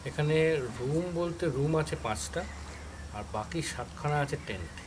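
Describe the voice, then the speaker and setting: A man with a West Bengal Bengali accent answers an interviewer in an explanatory, conversational way. His pitch is a little raised. Outdoor ambience and birdsong make the recording clearly noisy. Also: a little slow. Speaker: resort owner being interviewed.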